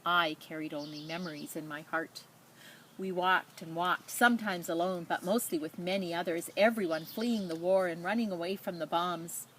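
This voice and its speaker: A woman reading a children's picture book aloud in a measured, expressive storytelling manner, with spoken asides. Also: fairly low pitch, slight background noise. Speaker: storybook reader.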